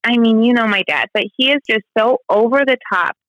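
A woman's voice: on the high side, brisk, fairly bright tone, no room sound, poor audio. A woman in her thirties from the American Midwest, talking candidly in a casual conversation, full of "like" and "you know". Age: 30s. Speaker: guest. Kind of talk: personal conversation.

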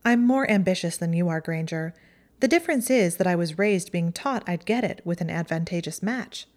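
A woman voicing a haughty, sharp-tongued teenage witch girl in a storybook reading, with a mocking, high-reaching delivery. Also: moderate pace, close to an everyday voice. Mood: bitter. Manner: mocking, acidic, voice rises high.

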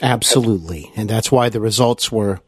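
A man's voice: fairly low pitch, a little fast, breathy edge, no room sound. Dry-sounding man hosting an interview. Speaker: podcast host and interviewer. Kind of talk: conversational interview.

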